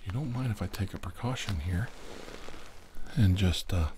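A man doing an ASMR roleplay as a calm, commanding doctor who hunts demons, speaking slowly and deliberately with quiet menace. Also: low-pitched, a little hiss or hum. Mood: calm, soothing. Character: calm, commanding demon-hunting doctor interrogating a possessed patient.

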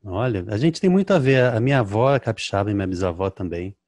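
A man in his thirties with a light Rio de Janeiro accent, hosting a podcast interview in a relaxed, curious way. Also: lowish voice, fast talker, no room sound. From Rio de Janeiro, Brazil. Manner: relaxed, curious, laughing along. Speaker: podcast host. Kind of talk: casual interview. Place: home studio with shelves. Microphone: studio mic on boom, headphones.

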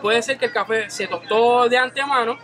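A young man working as a barista, calmly explaining coffee in a small coffee shop. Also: very high voice, moderate pace, a crowd in the background. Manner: calm, explanatory, informative. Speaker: professional barista. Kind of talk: casual explanation.

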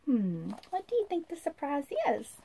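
A woman in her thirties reading a children's storybook aloud in an expressive, sing-song manner, as if to young listeners. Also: high voice, moderate pace, gravelly, a little hiss or hum. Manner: sing-song, animated, asks listeners questions. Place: small indoor room.

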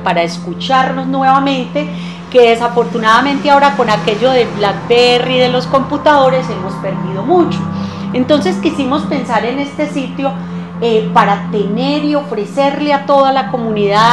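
Colombian lady from antioquia, middle-aged, pitching tours in an echoey room, music behind. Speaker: tour agency representative being interviewed. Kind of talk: promotional interview talk. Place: small hard-walled room indoors.

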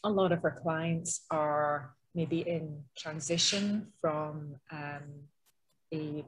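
A middle-aged woman with a soft Edinburgh Scottish accent presents a webinar over a video call. Her voice sits low and has a husky edge. She talks in a loose, conversational way, full of ums and small restarts. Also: steady tone, slow pace, low-quality recording. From Scotland. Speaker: interior designer presenting a webinar.

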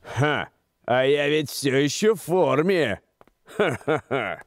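A man voicing a cocky adult male character in an animated cartoon dub. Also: on the high side, takes it slowly, music in the background.

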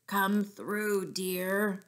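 A woman's voice: mid-range voice, level tone, extremely slow, a bit bright. A woman voicing a sly, coaxing, growly male big bad wolf in a children's storybook. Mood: falsely sweet, menacing.

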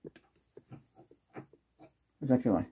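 A man's voice: very slow, mellow. An older man doing an ASMR doctor roleplay, giving short instructions and asking brief questions as in an exam. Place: small indoor room with cloth backdrop.

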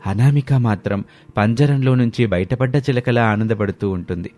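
A man's voice: medium pitch, moderate pace, darkish tone. A man with a Telugu accent narrating a scripted moral story.

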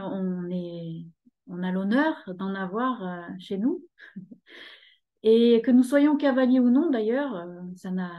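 A woman's voice: medium pitch, dark tone. Chatty woman on a video call. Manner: talkative, hesitant with many fillers. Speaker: guest, horse rescue association member. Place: living room at home. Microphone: laptop webcam on video call.

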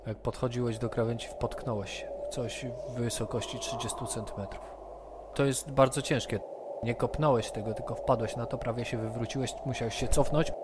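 A man running a tabletop game, describing scenes in a measured, descriptive way. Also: mid-range voice, fairly even intonation. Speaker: game master. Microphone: computer headset or desk mic, video call. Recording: noisy online session audio.

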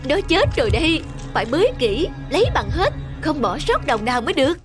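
Greedy old peasant wife, high-pitched. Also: female voice, very animated, brisk, music in the background. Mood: greedy, scheming. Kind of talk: animated folk tale acting.

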